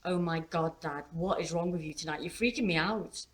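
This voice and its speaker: A woman's voice: lowish voice, normal speed, close to an everyday voice. A woman voicing an anxious, teasing fifteen-year-old daughter in a novel reading, with an informal, exclamatory, questioning manner. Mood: exasperated.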